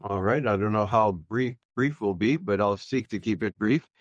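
A man's voice: on the low side, no echo, muffled recording. Older pastor guest telling his story; sincere, hesitant delivery, very dry mic. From Louisiana, US. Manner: earnest, halting, many fillers and restarts. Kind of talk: personal testimony in a radio interview.

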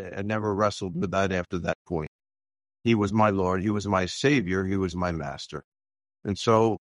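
Older man, pastor, earnest, halting, dry close sound. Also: lowish voice, dry recording. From Louisiana, US. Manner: earnest, halting, many fillers and restarts. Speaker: pastor, guest. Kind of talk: personal testimony in a radio interview.

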